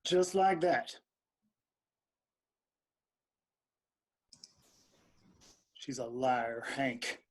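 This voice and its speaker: A man's voice: medium pitch, lively intonation, slow. A man reading a nervy, shifty band manager in a screenplay table read. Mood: indignant.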